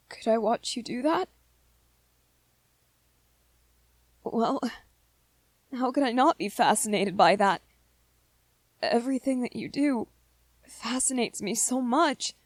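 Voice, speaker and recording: A woman voicing a shy, smitten young male vampire in a romantic ASMR roleplay, gentle and hesitant, often stammering over repeated words. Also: fairly high pitch, dry recording. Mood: admiring, bashful.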